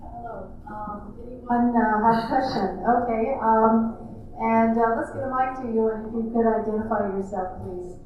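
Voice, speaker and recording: A woman's voice: fairly high pitch, steady tone, normal speed, dull audio, slight background noise. Moderator woman far off in an echoey hall. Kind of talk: running audience questions. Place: large conference hall.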